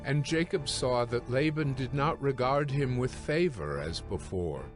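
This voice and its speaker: A man narrating scripture from a script in a measured, solemn storytelling manner. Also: medium pitch, lively intonation. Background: music.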